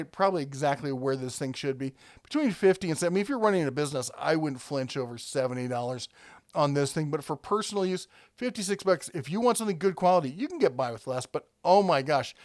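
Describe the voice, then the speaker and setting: Man, slightly high voice, dry close sound, casual rambling explainer, thinking aloud. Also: no room sound. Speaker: product review host. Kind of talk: casual, conversational product walkthrough.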